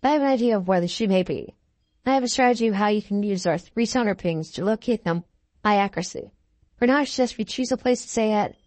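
A woman voicing a calm, shrewd young cafe maid in a visual novel game, measured and earnest. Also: mid-range voice, dry recording, close to an everyday voice. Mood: composed. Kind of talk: visual novel dialogue reading. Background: background music.

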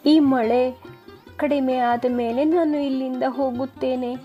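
Woman voicing a high-pitched, trembling little storybook bird. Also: steady tone, takes it slowly, lo-fi sound, faint music behind. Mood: timid, pleading. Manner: trembling, tearful pleading. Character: tiny frightened female bird, timid and pleading. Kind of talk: children's cartoon storytelling.

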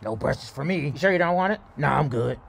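Animated high-pitched man talking profane trash. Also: fairly quick, gravelly. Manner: profane trash talk, exclamatory. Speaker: comedy voiceover creator. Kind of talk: improvised-sounding comic sports voiceover.

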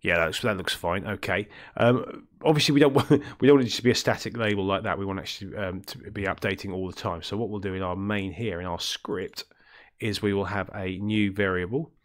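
A man with a deep, throaty voice from illness, talking through a coding tutorial in a rambling way, with many ums and self-corrections. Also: fairly even intonation, fairly quick. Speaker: game development tutorial host. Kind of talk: screen-recorded coding tutorial.

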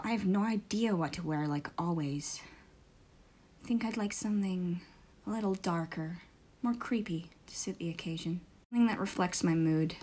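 A woman's voice: lowish voice, warm tone, natural voice. A woman voicing a cheeky, dramatic, moody thirteen-year-old girl in a comic fandub. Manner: cheeky, dramatic, musing to herself.

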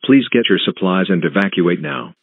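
A man reading a scripted emergency alert as an announcer, in a flat, measured, synthetic-sounding delivery with clipped phrases. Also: low-pitched, very noisy. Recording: muffled, narrow phone-like broadcast sound.

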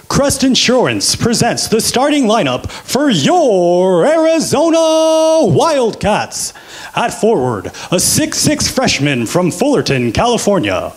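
A young American man announces like a basketball arena host into a handheld mic. His voice sits high and swings dramatically up and down. He takes his time, stretching out names in booming showman fashion. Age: 20s. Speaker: public address announcer auditioning. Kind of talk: arena sports announcing from a script. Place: small indoor room. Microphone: handheld microphone.

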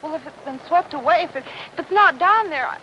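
Desperate young woman, very high-pitched. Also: expressive, fast talker, bright, forceful tone. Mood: desperate pleading. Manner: pleading, agitated, faltering. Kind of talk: 1950s television melodrama. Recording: muffled, noisy old film soundtrack.

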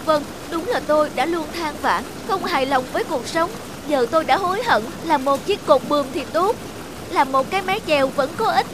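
High, pleading cartoon boat. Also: female voice, animated, moderate pace, background noise. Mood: regretful. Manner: pleading, lamenting. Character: regretful talking boat, once a proud mast. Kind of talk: children's cartoon dubbing. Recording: music under the voice.

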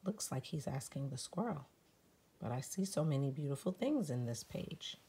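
A woman reading a picture book aloud for preschool children, talking to them in an animated way. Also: very deep voice, moderate pace, husky. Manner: animated, addresses young children. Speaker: preschool story reader. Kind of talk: children's storytime read-aloud.

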